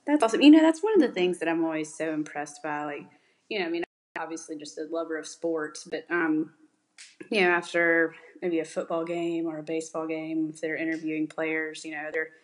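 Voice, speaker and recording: A woman's voice: low-pitched, fairly quick. A woman hosting an interview, asking long, rambling questions and giving encouraging replies. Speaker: podcast host. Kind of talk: casual interview chat.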